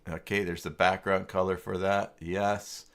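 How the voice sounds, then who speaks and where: A man's voice: low-pitched, level tone, a little slow, a bit bright, smooth. A man hosting a casual screen-share tutorial, thinking aloud and muttering hesitantly to himself as he searches. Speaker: live stream host demonstrating website software.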